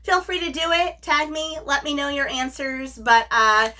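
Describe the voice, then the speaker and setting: A woman's voice: sits high, normal speed, pushed and bright. A woman hosting a book review video, chatting casually and enthusiastically to her viewers. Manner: enthusiastic, gushing.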